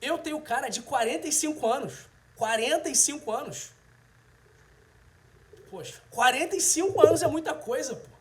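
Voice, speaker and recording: A man's voice: very high voice, lively intonation, normal speed. A man of about thirty with a Brazilian accent, chatting casually and directly to viewers as a livestream host. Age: 30s. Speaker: host, health video presenter. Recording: roomy, echoing sound.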